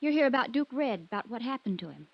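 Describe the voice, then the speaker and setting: A defiant, headstrong young woman in vintage radio drama. Her voice sits a bit high. The aged recording sounds dull and muffled. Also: big pitch swings, quick pace, vocal fry, no room sound, full character voice. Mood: cool, probing. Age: young adult. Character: defiant, headstrong young rich rancher's daughter. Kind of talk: old-time radio drama acting.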